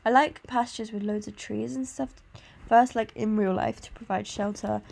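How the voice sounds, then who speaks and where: A woman's voice: mid-range voice, steady tone, normal speed, creaky, a little mellow. A tired woman with a congested sore throat gives an informal, meandering tour commentary on a video game. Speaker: gaming video host. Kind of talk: casual gameplay tour commentary.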